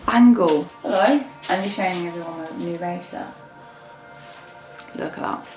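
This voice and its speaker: A woman's voice: lowish voice, moderate pace, over music. A young woman, chatting casually to camera at home and in her garden, rambling with plenty of fillers. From England. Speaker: lifestyle vlogger. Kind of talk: casual vlog chat to camera. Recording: roomy echo with faint ambient noise.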